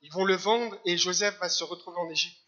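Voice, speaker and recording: A man from Algeria preaching a church sermon in short phrases, pausing for an interpreter. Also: high-pitched, steady tone, sharp tone, lo-fi sound. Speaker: guest preacher. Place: church service.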